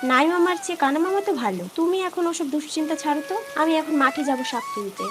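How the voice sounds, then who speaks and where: A woman as a high-pitched, earnest village wife. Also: animated, fast. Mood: caring, determined. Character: poor, honest, resilient young village wife and mother. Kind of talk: cartoon character dialogue. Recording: music behind the voice.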